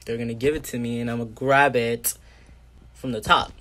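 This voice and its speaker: A man's voice: mid-range voice, flat delivery, fairly quick, pushed and bright. A young man talking casually to his viewers as a vlogger in a dorm room. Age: young adult. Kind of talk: casual vlog intro. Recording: noisy amateur video sound.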